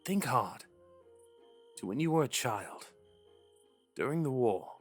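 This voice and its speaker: A man playing an earnest, lovestruck young crown prince in a romantic ASMR roleplay, speaking tenderly and intimately with dramatic pauses. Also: mid-range voice, a bit bright, exaggerated voice. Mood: searching, insistent.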